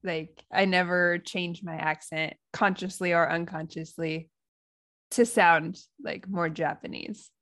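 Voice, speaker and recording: A woman's voice: on the low side, steady tone, takes it slowly, fairly bright tone. Chatty American woman, laughing as she talks. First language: English. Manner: chatty, laughs often, thinks aloud. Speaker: podcast co-host. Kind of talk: casual conversational podcast.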